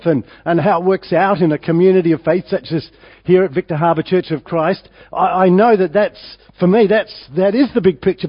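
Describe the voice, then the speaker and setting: Croaky older Australian pastor. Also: male voice, high voice. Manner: rambling, halting, many fillers and restarts. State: hoarse from a winter cold. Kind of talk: informal sermon preamble. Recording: dull lo-fi church recording.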